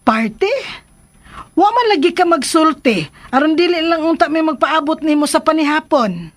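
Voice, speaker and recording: A woman's voice: sits high, expressive, normal speed. An actress playing an inquisitive mother of a grown son in a Filipino radio drama, speaking in a questioning way.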